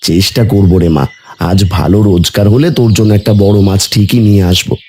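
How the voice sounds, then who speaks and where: A man's voice: on the low side, quick pace, darkish tone, lightly acted. A man voicing a kindly, easygoing village father in a cartoon story, homely and reassuring.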